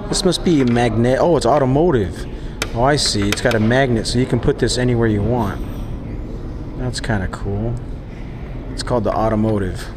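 A man's voice: mid-range voice, normal speed, noisy background. A man casually talking to himself while browsing products in a shop, exclaiming now and then, recorded on a head-mounted camera. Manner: musing to himself, exclaiming at items. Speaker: vlogger touring a shop. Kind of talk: casual handheld product walkthrough. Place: indoor retail store. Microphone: head-mounted action camera.

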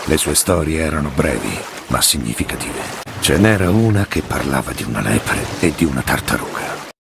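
A man playing a grave, measured storyteller in a dubbed drama. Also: rumbling low, animated, creaky, noisy background, exaggerated voice. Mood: grave, reflective.

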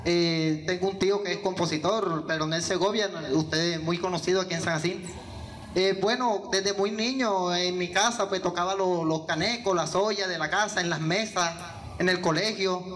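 A man's voice: sits high, fairly even intonation, normal speed, fairly bright tone, a little hiss or hum. A young man, answering interview questions into a handheld mic in an indoor hall, hesitant with many fillers and restarts. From Venezuela. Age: young adult. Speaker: guest musician. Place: indoor hall with yellow walls. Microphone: handheld microphone.

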